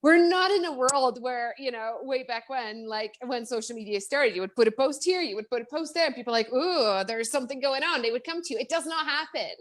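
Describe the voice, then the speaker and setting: A woman with a Czech accent speaks in a fairly high voice, chatting as an interview guest on a live stream. She talks in an animated way, piling up fillers and restarting her sentences as she goes. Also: brisk, hardly any pauses. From Czech Republic.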